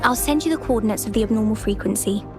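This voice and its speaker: A woman's voice: a little high, fast, close to an everyday voice. A woman voicing an earnest young researcher. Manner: earnest, polite, explanatory. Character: earnest young female field researcher, worried. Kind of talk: video game cutscene voice acting. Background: game music.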